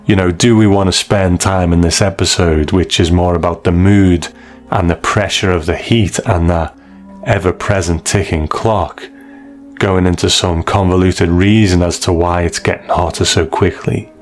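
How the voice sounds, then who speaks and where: A man's voice: low voice, fairly even intonation, moderate pace. A man hosting a reflective, opinionated commentary on classic television in a conversational style. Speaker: host reviewing a classic TV episode. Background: faint music.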